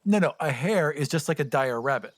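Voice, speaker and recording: A man's voice: on the high side, expressive, fairly quick, no room sound. A man playing a tabletop game, chatting and describing his character's moves in a casual, joking way. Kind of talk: casual improvised game chat.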